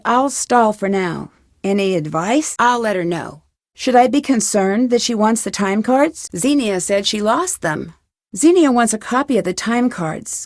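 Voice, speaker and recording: A woman's voice: fairly high pitch, a little mellow, no echo, clean studio sound. An actress playing an inquisitive, polite young woman detective in a video game, speaking in an earnest, questioning way. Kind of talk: video game dialogue acting.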